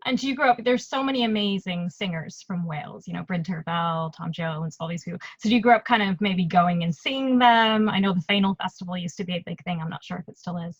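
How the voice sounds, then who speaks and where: A woman hosting a casual interview, chatting in an enthusiastic, lively way. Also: fairly low pitch, fast, hardly any pauses. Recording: dull, dry lo-fi audio.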